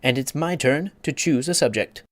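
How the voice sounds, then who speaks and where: A man's voice: mid-range voice, expressive, fairly bright tone, no room sound, clean studio sound, pitched above the performer's own voice, lightly acted. A man voicing a pompous, easily offended egg creature who lectures and takes offense. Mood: lecturing. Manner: haughty, quick to take offense, lecturing.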